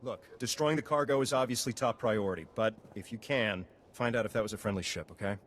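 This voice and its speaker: An actor playing a worried, blunt soldier on a prison planet in a video game, talking plainly and earnestly. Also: male voice, fairly low pitch, a little fast, a little hiss or hum, natural voice. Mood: urgent, worried.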